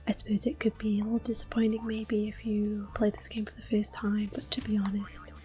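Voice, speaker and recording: A young woman whispering gentle, unhurried commentary while playing a video game for an ASMR video. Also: medium pitch, completely flat delivery, soft background music. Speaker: ASMR gaming host.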